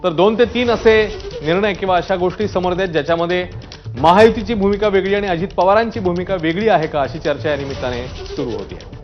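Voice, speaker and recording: A man's voice: high voice, fast talker. A man from reading scripted TV news in an emphatic, dramatic way. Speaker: news anchor. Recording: dull broadcast audio with music underneath.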